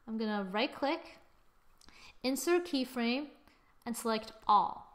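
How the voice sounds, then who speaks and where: A young adult woman giving a casual software tutorial, with a playful, exclaiming manner and little sing-song asides. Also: a little high. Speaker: tutorial presenter. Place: screen-recorded voice-over.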